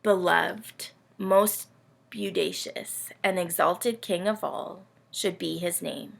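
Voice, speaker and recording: A woman voicing a melodramatic, vain, self-pitying male camel in a children's storybook, with drawn-out, theatrical delivery. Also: lowish voice, sing-song, creaky, a little hiss or hum, heavily acted. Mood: awed, tender.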